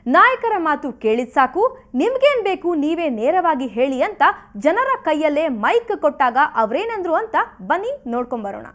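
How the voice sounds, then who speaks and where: A woman's voice: high-pitched, sing-song, fairly quick, a bit bright. A woman presenting a television news programme, reading a scripted introduction.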